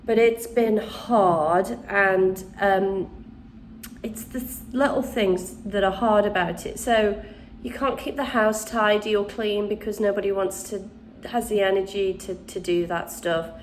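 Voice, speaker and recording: A woman's voice: medium pitch, fairly even intonation, unhurried, slight background noise, poor audio. A woman host chatting casually and rambling a little, still congested after the flu. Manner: rambling, chatty, with restarts and ums. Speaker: channel host, witchcraft crafts teacher. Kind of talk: casual chat to camera.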